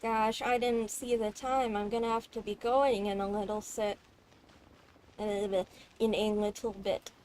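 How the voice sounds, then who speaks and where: A woman's voice: mid-range voice, steady tone, a little slow, a little mellow, poor audio. A woman streamer giving casual, chatty commentary while she plays a video game. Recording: background noise and game ambience.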